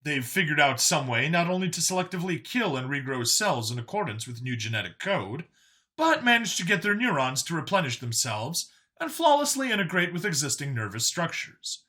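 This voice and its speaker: A man narrates scripted science fiction with a bright, forward voice that sits a little high. His pitch swings widely from phrase to phrase, while the delivery stays wry and expository, like an official briefing. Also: big pitch swings, a little fast. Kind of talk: scripted dramatic story narration as an alien official giving a briefing.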